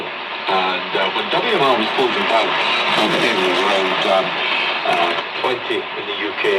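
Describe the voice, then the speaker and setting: A Scottish man chats as a shortwave radio DJ, his voice fairly low and half buried in heavy static. He rambles casually and hesitantly, pausing as he goes. The sound is distant, as if picked up from far away. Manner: halting, hesitant, conversational.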